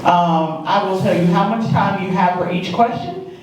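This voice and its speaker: A woman moderating a local candidate forum, laying out the rules and format in a clear, instructive way. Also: lowish voice, moderate pace. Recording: echoey room, distant mic, faint noise.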